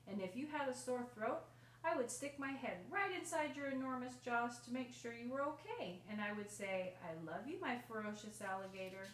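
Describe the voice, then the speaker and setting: Lady reading as a loving storybook mom, singsong and patient, highish voice, roomy sound. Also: normal speed, lightly acted. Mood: tender, reassuring. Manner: tender, reassuring, singsong. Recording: roomy, distant mic, faint noise.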